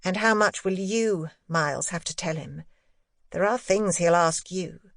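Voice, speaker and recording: A woman's voice: fairly low pitch, unhurried, gravelly, no room sound. An anxious governess, played by a woman narrator, speaking in a dry, close recording. She is earnest and probing yet tender, as in a classic ghost story audiobook. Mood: pressing.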